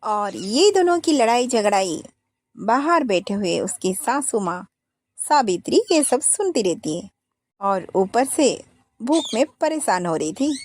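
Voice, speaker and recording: A woman's voice: fairly high pitch, very animated, measured pace, slight background noise. A woman narrating a family moral story, switching voices for each member of the household.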